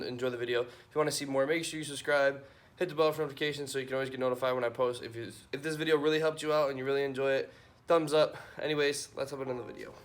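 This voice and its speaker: A man with a hoarse, raspy voice from just waking up, casually talking through a car install for his vlog on a handheld camera, rambling with plenty of fillers. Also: mid-range voice, slight background noise. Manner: relaxed, rambling, many fillers. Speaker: car vlogger.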